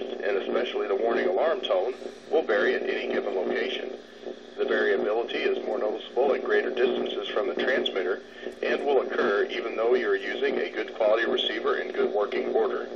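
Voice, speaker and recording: A man reading a scripted public warning test announcement over weather radio in a formal, measured manner. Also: medium pitch, creaky. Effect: weather radio broadcast. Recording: muffled, very noisy weather radio reception.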